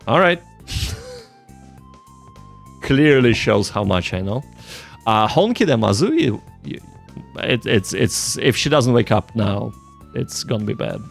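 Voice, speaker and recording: A man's voice: mid-range voice, animated, slow pace, gravelly, long pauses, faint music behind. A man doing casual live study-stream commentary, thinking aloud and translating hesitantly. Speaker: streamer, language learner.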